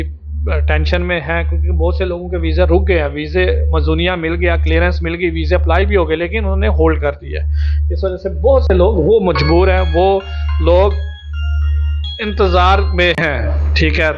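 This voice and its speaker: A man hosting a casual YouTube talk in Oman, chatty and full of advice, often asking his guest to agree. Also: a little high. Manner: chatty, advising, asks for agreement. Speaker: YouTube host. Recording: lo-fi dull recording.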